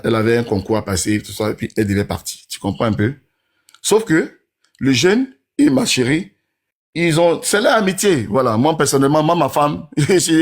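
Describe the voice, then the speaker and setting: A man in his forties talking in a casual, rambling monologue to his followers, who keeps asking whether they understand. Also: fairly high pitch. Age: 40s.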